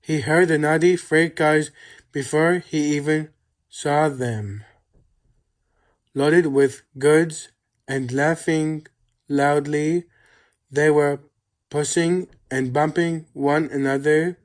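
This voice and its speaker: A storybook narrator reads aloud for children. The delivery is scripted and slow, with a medium-pitched voice that gives each phrase room. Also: fairly even intonation.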